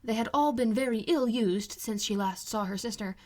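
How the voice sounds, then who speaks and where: A woman's voice: fairly high pitch, normal speed, darkish tone. A woman reading classic fiction aloud as scripted audiobook narration. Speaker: amateur audiobook reader.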